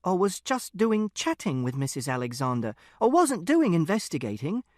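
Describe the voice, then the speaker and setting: A man's voice: sits high, sing-song, normal speed, no room sound, clean studio sound. A man voicing a literal-minded, earnest, anxious schoolboy in an audiobook, speaking plainly and precisely.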